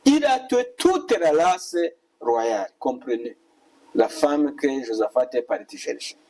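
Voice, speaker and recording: Husky male preacher, distant mic. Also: mid-range voice, huge pitch swings, unhurried, darkish tone. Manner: admonishing, repetitive rhetorical questions. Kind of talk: exhortatory sermon and prayer.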